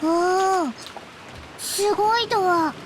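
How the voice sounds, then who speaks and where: Eerie, high-voiced little occult-obsessed girl. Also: unhurried, a little hiss or hum. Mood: awed. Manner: childlike yet solemn, lecturing on occult lore. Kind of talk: Japanese visual novel voice acting. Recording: prominent music behind the voice.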